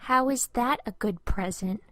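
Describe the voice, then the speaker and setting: A woman voicing an eager, easily upset young boy in a children's storybook, in an expressive way. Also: fairly high pitch, unhurried, dry recording. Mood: anxious, hesitant.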